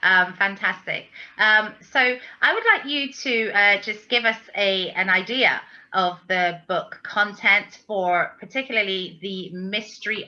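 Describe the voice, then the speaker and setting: A woman with a very bright, sharp voice interviews an author, asking her questions with lots of hesitant fillers. The audio is dull and lo-fi. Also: mid-range voice. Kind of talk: casual interview.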